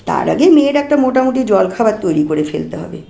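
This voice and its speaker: A woman narrating a short story in an expressive audiobook style. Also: medium pitch, brisk, vocal fry, reverberant.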